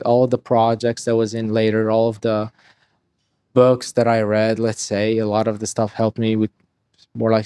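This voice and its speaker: Young man, dry close sound, mellow tone, rambling, profane. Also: medium pitch, smooth, no echo. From Hungary. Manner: rapid, rambling, swears freely, restarts sentences. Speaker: podcast guest. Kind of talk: casual interview talk.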